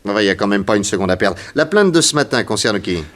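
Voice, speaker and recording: An actor playing a composed, methodical police detective in a 1960s French radio serial, with a measured, probing manner. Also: male voice, on the low side, expressive, fairly bright tone. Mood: businesslike, pensive.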